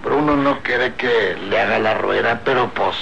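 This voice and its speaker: Gravelly, tense Mexican bandit. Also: male voice, mid-range voice, a little slow, a bit bright. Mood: lecherous. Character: brutish lecherous rural bandit gunman, threatening and flirtatious. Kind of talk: old radio soap opera acting. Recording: dull, noisy old radio serial recording.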